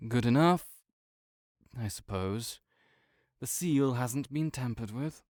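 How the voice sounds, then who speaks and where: A man's voice: mid-range voice, lively intonation, slow pace, a little mellow, no room sound, clean studio sound. A man playing a proud, sardonic invalid nobleman in an audio roleplay, speaking with disdainful, formal, old-fashioned diction. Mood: curt, suspicious. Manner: haughty, archaic formal diction, disdainful drawl. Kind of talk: scripted audio roleplay monologue.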